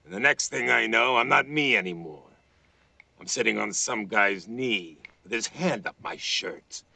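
A man playing a bitter, wisecracking cursed ventriloquist dummy in a TV drama, with a gravelly, wry delivery. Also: on the low side, unhurried, sharp tone, no echo, exaggerated voice. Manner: wry, sardonic. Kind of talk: TV drama acting.

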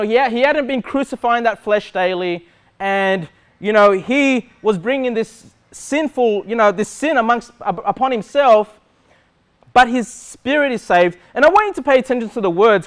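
A man, preaching a sermon as pastor in a church hall, asking questions and gesturing widely. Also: high-pitched. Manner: animated preaching with wide gestures, rhetorical questions. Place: church hall with metal walls.

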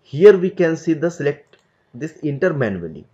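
A man explaining a cloud software tutorial step by step over a screen recording, in loose, conversational phrasing. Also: a little high, very animated, normal speed, highs cut off. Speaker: tutorial instructor.

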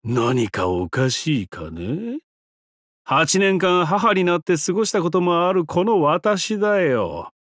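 A man's voice: on the high side, big pitch swings, a bit bright, no echo, studio quality, exaggerated voice. An actor voicing a depraved, mocking trickster giant-god held prisoner in a game, theatrical and taunting, drawing out his words. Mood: sly, mocking. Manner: theatrical, taunting, drawn-out words, sudden swoops and cackles. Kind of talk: theatrical game character voice lines.

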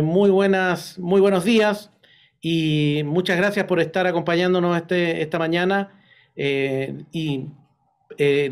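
A middle-aged Chilean man hosting a conversational interview over a video call, speaking in a halting, digressive way. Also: fairly high pitch. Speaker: interview host. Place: indoor room on a video call.

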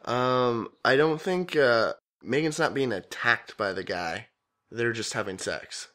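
A man's voice: mid-range voice, unhurried, a bit bright. A man co-hosting a podcast, casually giving notes and corrections, repeating words for emphasis. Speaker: podcast co-host.

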